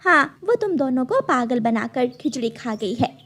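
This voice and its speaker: A woman's voice: high-pitched, big pitch swings, moderate pace, fairly bright tone, full character voice. A woman voicing a wise, firm mother sparrow in a cartoon, instructing in a motherly way. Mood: knowing.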